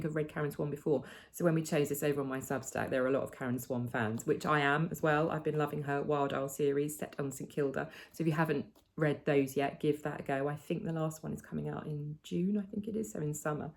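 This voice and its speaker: A woman's voice: low voice, darkish tone. A British woman chatting casually and enthusiastically as she hosts a book club review. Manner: enthusiastic, conversational.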